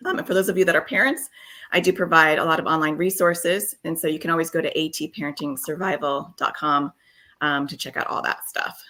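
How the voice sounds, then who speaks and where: Guest therapist, woman in her fifties, deep but bright voice, frank. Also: brisk. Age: 50s. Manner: warm, frank, filler-heavy with many ums. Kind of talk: casual interview chat.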